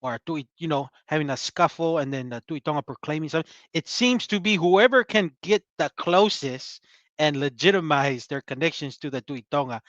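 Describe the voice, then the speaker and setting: A man co-hosting a casual chat show, rambling with halting fillers and trailing off softly. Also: on the high side, expressive, no echo, dull audio. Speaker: podcast co-host.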